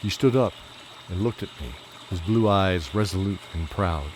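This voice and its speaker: A man's voice: low voice, normal speed, noisy background, natural voice. A man playing a solemn old holy knight who narrates his own tale in an audio drama. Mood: solemn, reflective. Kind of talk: first-person dramatic storytelling. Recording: background music throughout.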